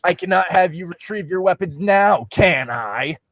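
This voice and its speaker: A man's voice: high-pitched, expressive, normal speed, fairly bright tone, dry recording, clean studio sound, pitched above the performer's own voice. A man voicing a smug, sinister shadow villain from a fantasy story, mocking and screechy. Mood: smug taunting.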